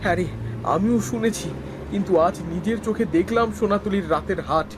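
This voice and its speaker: A man's voice: sits high, fairly quick, background noise, close to an everyday voice. A man playing a wary, curious young hero who also narrates a horror cartoon dramatically.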